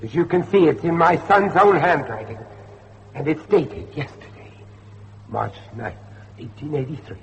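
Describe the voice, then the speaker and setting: A man's voice: fairly high pitch, moderate pace, gravelly, dull audio, a little hiss or hum. An actor playing a middle-aged Victorian father, determined and anguished, speaking formally and with intensity. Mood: grave, determined. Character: determined, anguished Victorian father searching for his missing son. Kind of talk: period radio drama acting.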